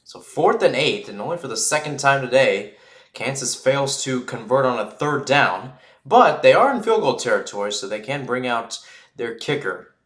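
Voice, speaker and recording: Bright, echoey male football play-by-play man. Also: mid-range voice, normal speed. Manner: excitable, calls surging plays loudly then drops back to casual asides. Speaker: play-by-play sports commentator. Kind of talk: live American football radio-style commentary. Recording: roomy echo on the voice.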